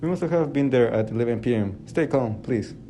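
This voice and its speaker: Calm, confident hunter, acted by a man: mid-pitched, mellow voice, radio play. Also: fairly quick, slight background noise, lightly acted. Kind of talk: amateur radio drama acting.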